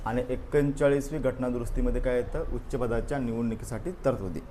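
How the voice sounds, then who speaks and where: A man's voice: medium pitch, fairly even intonation, fairly quick, dark tone, slight background noise. A man teaching an exam review class, repeating answers and quizzing his students. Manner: drills answers through repetition and questions.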